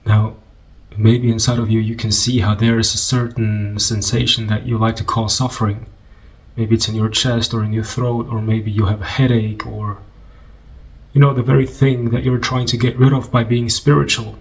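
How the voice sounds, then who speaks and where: A man leading a guided meditation in an informal, conversational way, unhurried and gently instructing, with pauses. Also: on the low side, little pitch movement, low-quality recording.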